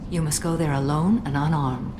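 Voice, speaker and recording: Actress as a grave, low-voiced alien huntress. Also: female voice, steady tone, natural voice. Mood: composed, cautionary. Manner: measured, formal, grave. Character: ancient, solemn female alien warrior hunter, composed and grave. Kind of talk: video game voice acting. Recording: clearly noisy game ambience.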